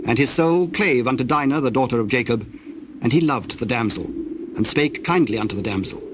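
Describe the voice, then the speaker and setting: A man reads scripture as a solemn narrator, measured and formal. His pitch is in the middle range, and a clear bed of noise with birdsong and cooing doves runs behind him. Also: animated.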